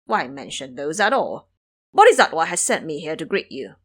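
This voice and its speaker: Male bear guardian done by a woman, stately, formal, emphatic, animated intonation, fantasy audio drama. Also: low-pitched, moderate pace, breathy edge, pitched below the performer's own voice, full character voice. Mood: courteous, conciliatory.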